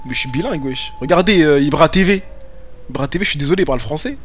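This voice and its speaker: A man's voice: fairly high pitch, animated, fast talker, breathy edge. A young man streaming a game from home, chatting with viewers in slangy, joking banter. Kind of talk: casual gaming commentary. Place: home room, webcam.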